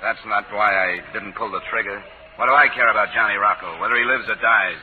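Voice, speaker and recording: An actor playing a disillusioned, stubborn former soldier, terse and defiant, in 1940s radio drama style. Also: male voice, lowish voice, fast, soft background music, close to an everyday voice. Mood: bitter, defiant. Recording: narrow, noisy 1940s radio recording.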